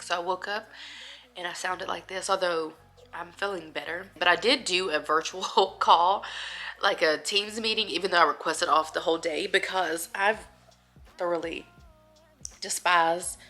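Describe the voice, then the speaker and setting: A woman's voice: fairly low pitch, pushed and bright. A woman chatting casually at home, nasal and congested from a cold, rambling and laughing between thoughts. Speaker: vlogger. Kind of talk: casual chat to camera.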